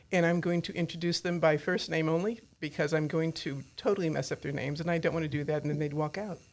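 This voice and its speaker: A woman hosting a studio talk show, joking and teasing her guests playfully. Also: low voice. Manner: playful, teasing, self-mocking. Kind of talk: casual studio chat with jokes.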